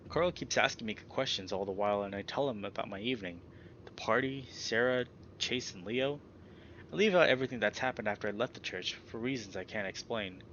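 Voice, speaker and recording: A man's voice: fairly low pitch, a little fast, lo-fi sound, slight background noise. A man hosting a let's play, reading a visual novel aloud and commenting casually and expressively. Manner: expressive reactions, swears casually.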